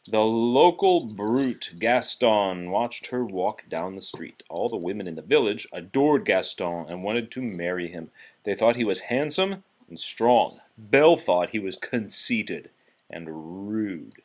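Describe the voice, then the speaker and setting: A man's voice: on the low side, animated, a little slow, a little mellow. A man reading a children's storybook aloud to a child, expressively, with the odd prompting question. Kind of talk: children's read-aloud narration.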